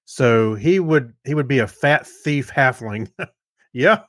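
A man with a medium-pitched voice co-hosts a casual podcast. He speaks in a loose, halting way. The recording is dry, with no room sound. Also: expressive, fairly bright tone, no room sound. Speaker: podcast co-host. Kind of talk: casual chat.

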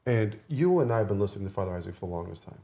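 A man's voice: fairly low pitch, lively intonation, racing, dark tone. A man who is a podcast guest and rapper, chatting casually and informally in a studio, often repeating his phrases as he goes.

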